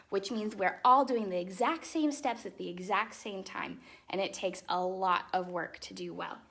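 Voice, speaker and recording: A woman hosting storytime, reading a fairytale aloud to children expressively, with casual explanatory asides in a softer, chatty manner. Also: mid-range voice, creaky. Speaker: storytime host and ballet dancer.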